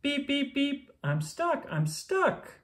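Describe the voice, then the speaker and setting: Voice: high-pitched, big pitch swings, slow pace, a bit bright, pitched above the performer's own voice, exaggerated voice. A storybook reader voicing a friendly, eager small truck with short chirpy exclamations. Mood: pleading.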